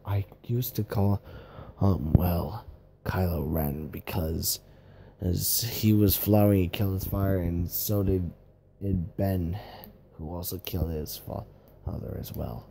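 A man rambling in an improvised monologue, halting and correcting himself. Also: deep voice, creaky, dark tone, slight background noise.

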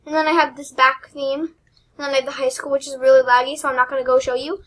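A young woman with a high, squeaky voice talks over her gameplay at a desktop mic. She rambles, giggles and mocks herself as she goes, often trailing off into quiet asides. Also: little pitch movement, quick pace. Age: teens. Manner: rambling, giggly, self-deprecating. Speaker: gaming channel host. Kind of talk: casual gameplay commentary.

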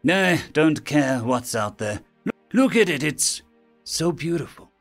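Guy doing a young otter pilot, kinda high, bouncy, soft music behind. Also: unhurried, creaky. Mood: wistful. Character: young male otter lieutenant, cheerful, awed.

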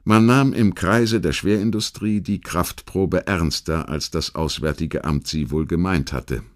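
Male narrator, deep voice, standard German accent, for history audiobook; measured, rhetorical reading with deliberate emphasis. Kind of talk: scripted documentary narration, literary history reading.

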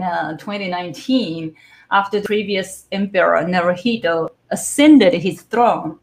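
A middle-aged woman with a Japanese accent talks casually over a video call from home, hedging and correcting herself with plenty of "so, yeah". Her voice sits fairly low and has a gravelly, fry-tinged edge. Her first language is Japanese. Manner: chatty, lots of fillers and self-corrections. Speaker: guest developer speaker. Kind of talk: casual live-stream tech talk. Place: home room, indoors. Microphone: video call webcam.

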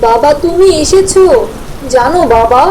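A woman plays a scared little village girl in a cartoon. The voice is high and mellow, childlike and pleading. Light rain noise runs behind it. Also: lively intonation, measured pace, poor audio. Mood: frightened. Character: frightened little village girl, affectionate daughter. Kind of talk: cartoon voice acting.

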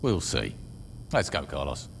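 An actor playing a scarred, hard-edged gang leader in a video game, curt and sarcastic. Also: male voice, rumbling low, wildly swooping pitch, measured pace, creaky, slight background noise, exaggerated voice. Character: scarred male gang boss, blunt and hard. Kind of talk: video game cutscene acting.

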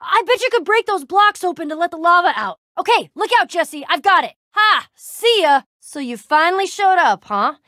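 Casting: tough, loyal young female game warrior; high, very animated voice, sarcastic banter, dry close recording. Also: normal speed, hardly any pauses, dry recording, full character voice. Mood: urgent, excited. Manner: cocky, sarcastic, slangy banter. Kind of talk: animated video game character acting.

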